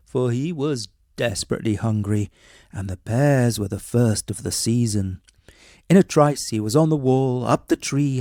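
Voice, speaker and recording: A man with a mellow, medium-pitched voice tells a bedtime story. He reads expressively, like a practised storyteller, in a close, dry recording. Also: no echo. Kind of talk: scripted bedtime story narration.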